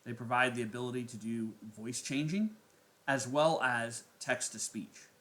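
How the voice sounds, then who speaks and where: A man narrating a step-by-step software tutorial as its host. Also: mid-range voice, normal speed.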